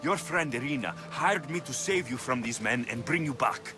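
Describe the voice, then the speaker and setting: Actor as bold mercenary, lively delivery. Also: male voice, mid-range voice, moderate pace, over music, natural voice. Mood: calm, earnest. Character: bold Greek mercenary man, confident and protective. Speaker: video game protagonist. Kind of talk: cinematic game dialogue acting.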